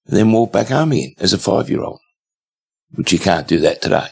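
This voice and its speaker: A man in his seventies with an Australian accent, a guest telling stories in a relaxed, rambling way with many fillers. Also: low voice, brisk, creaky, slightly rough. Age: 70s. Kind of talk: casual storytelling interview.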